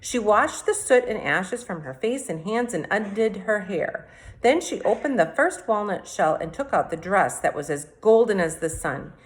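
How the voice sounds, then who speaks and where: A woman's voice: medium pitch, expressive, moderate pace, rough recording. A woman reading a fairy tale aloud expressively, as a teacher would to children. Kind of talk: expressive storybook read-aloud.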